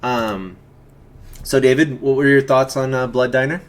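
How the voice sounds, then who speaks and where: A man's voice: mid-range voice, fairly even intonation, measured pace. A man hosting a film watch-along stream, talking in a casual, jokey, informal way. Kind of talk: casual chat and film commentary.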